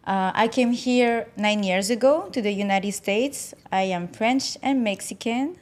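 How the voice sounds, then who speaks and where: A woman's voice: medium pitch, unhurried, a little mellow. A woman guest who makes music, talking in a friendly, chatty, agreeable way. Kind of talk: casual podcast interview.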